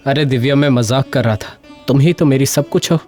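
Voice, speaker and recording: A man voicing an affectionate, easygoing young husband from a poor household in an animated moral story, speaking tenderly and earnestly. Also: mid-range voice, unhurried, faint music behind, close to an everyday voice. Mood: affectionate, teasing.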